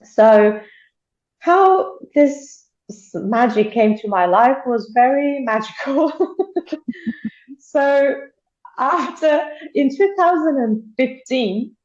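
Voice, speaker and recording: A woman's voice: sits high, expressive, drawn-out words, darkish tone, roomy sound, muffled recording. Bulgarian-born woman chatting on a video call. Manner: chatty, laughs often, restarts sentences. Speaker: interview guest. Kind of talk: casual interview over video call.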